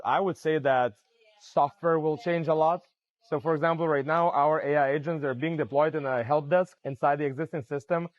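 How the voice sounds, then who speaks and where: A man gives a conference interview, explaining in a fluent way and leaning on "you know" fillers. His voice sits fairly high for a man. The sound is dry and a little muffled. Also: no room sound. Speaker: AI startup founder, interview guest. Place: conference venue.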